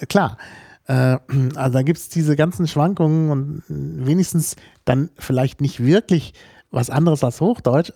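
A man from Hamburg being interviewed about languages, explaining slowly and thoughtfully, with many fillers and restarts. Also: mid-range voice, vocal fry, mellow, husky, dry recording, studio quality. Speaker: linguist guest. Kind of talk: relaxed spoken explanation in an interview.

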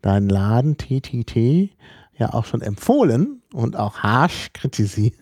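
A man hosts a laid-back podcast chat, talking in an easy, conversational way and laughing lightly between remarks. His voice has a warm, mellow tone at a middling pitch. The sound is dry and close, as in a small studio setup. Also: a little slow, no echo. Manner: relaxed, chatty, laughs while talking. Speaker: podcast host.

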